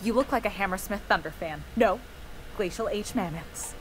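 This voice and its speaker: A woman's voice: medium pitch, normal speed, a little hiss or hum, lightly acted, rough recording. Woman playing a gushing, chatty young sports fan. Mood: excited. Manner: gushing, talkative. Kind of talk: video game character acting.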